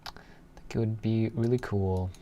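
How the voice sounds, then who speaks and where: A man's voice: fairly low pitch, steady tone, normal speed, mellow. A man in a casual coding livestream, thinking aloud and muttering to himself as he trails off, with keyboard typing. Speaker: live programming streamer. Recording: clear background noise, keyboard typing.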